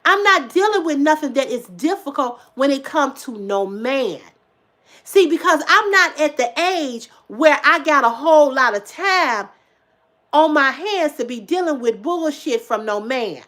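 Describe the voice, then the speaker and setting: A woman in her fifties talks straight to her livestream viewers in a casual, emphatic way. Her voice sits high, and she repeats phrases for punch and swears without holding back. She swings from loud outbursts to quiet asides. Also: lively intonation, unhurried. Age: 50s. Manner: emphatic, repetitive, swears freely; swings between loud exclamations and low asides. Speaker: livestream host.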